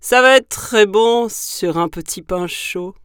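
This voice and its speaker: A big, hungry storybook monster played by a woman, gruff and rather gullible. He booms out his lines loudly, with a slow, weighty delivery. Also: dry recording, studio quality. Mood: greedy, gleeful. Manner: loud, booming exclamations.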